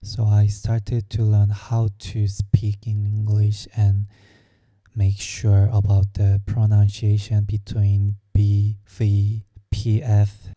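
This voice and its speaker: A young man from Korea with a Korean accent, telling his own story slowly and hesitantly, searching for words. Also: fairly low pitch, mellow, no room sound. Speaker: vlogger. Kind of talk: personal storytelling.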